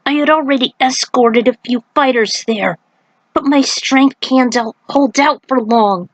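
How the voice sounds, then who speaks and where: A woman acts out an urgent, weakening god of thunder from a fantasy story. He speaks in solemn, emphatic declarations, pitched fairly high, in a dry recording. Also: measured pace, dry recording, rough recording. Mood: strained, grave.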